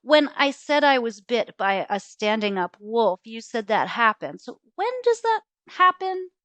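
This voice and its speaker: A woman's voice: a little high, very animated, unhurried, fairly bright tone, no echo, pitched above the performer's own voice. A woman voicing an earnest, insistent young girl in a storybook, questioning and pleading. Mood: worried, pleading. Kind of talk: storybook character voice.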